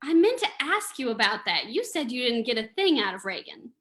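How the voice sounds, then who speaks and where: A spirited, teasing lady companion from a radio mystery, played by a woman. Her voice is bright and a little high, playful and mock-indignant. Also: big pitch swings, brisk. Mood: playful, chiding. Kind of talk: old-time radio acting.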